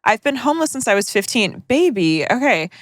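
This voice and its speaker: A woman's voice: a little high, sing-song, a little fast, dry recording, clean studio sound. Profane young woman podcast co-host. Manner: profane, joking asides while reading. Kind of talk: casual chat, reading listener letters aloud.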